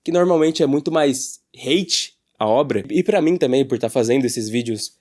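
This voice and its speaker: A man's voice: medium pitch, lively intonation, normal speed, a little mellow. A Brazilian man giving casual opinion commentary as a YouTuber, in an informal, slangy way.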